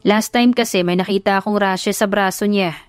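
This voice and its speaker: A woman's voice: medium pitch, fairly even intonation, normal speed, smooth, no echo, faint music behind, natural voice. A woman voicing a playful, sly young husband in an easygoing, teasing tone.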